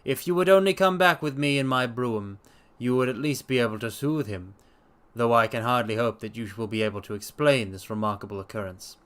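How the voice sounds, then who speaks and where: A man voicing a sober Victorian doctor who recounts a strange incident in formal, measured storytelling. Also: medium pitch, fairly bright tone, clean tone, close to an everyday voice. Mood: sober, concerned. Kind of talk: in-character narration of a detective story.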